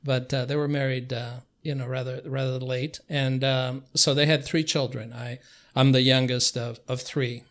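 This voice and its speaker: An older man who grew up in Cuba with Spanish as his first language. He talks as a guest in a conversational, reminiscing way, with a flat, mid-pitched delivery. His phrasing is halting, with many searching pauses. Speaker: interview guest.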